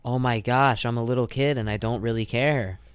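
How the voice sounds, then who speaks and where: A man's voice: medium pitch, steady tone, moderate pace, clear, resonant tone, no room sound. A young man co-hosting a radio show in loose, rambling casual chat peppered with "like". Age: young adult. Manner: rambling, says "like" constantly. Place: radio studio.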